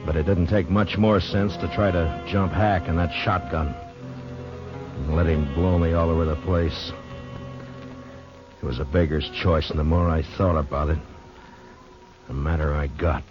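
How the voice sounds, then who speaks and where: An actor playing a hard-bitten frontier marshal with an American accent, narrating and speaking tersely and grimly in an old-time radio Western. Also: male voice, extremely low pitch, steady tone, a little slow, long pauses. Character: steady, hard-bitten frontier marshal telling his story. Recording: dull old radio recording with music.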